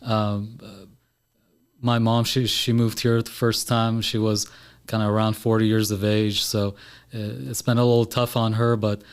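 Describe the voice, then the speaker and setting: Low, flat-voiced man from Iran. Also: a little slow, clear, resonant tone. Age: about 30. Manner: relaxed, lots of "uh" and "you know" fillers. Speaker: podcast guest, author. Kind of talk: casual interview talk. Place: podcast studio.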